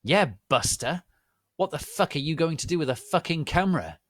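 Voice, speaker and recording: A man voicing an angry, protective teenage gothic wizard boy, shouting indignantly in a story. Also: a little high, animated, normal speed, voice pushed up.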